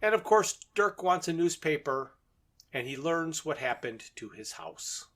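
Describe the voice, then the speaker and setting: A man with a voice a bit higher than most men's speaks as a podcast co-host. He delivers an informal recap of a story, moving through it in short, plain statements. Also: very animated, measured pace, a bit bright. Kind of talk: plot recap in casual podcast talk.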